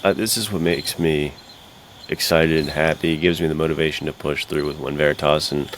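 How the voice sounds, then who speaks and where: A man with a very deep voice talks like an excited podcast co-host. His delivery is casual, slangy and bro-ish. Some noise sits behind him. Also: brisk. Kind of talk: casual enthusiastic podcast chat.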